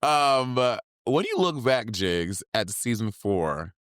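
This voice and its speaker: Lively playful man hosting a podcast. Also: medium pitch, slow pace, no echo, clean studio sound. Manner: playful, lots of backchannel and laughter. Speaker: podcast host. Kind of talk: casual chat.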